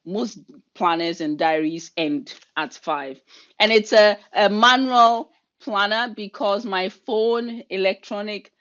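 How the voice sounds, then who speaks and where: A middle-aged woman with a Ghanaian accent, leading an online workshop in a quick, energetic, chatty way over a video call. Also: medium pitch, muffled recording. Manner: fast, energetic, chatty delivery. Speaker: leadership coach and workshop leader.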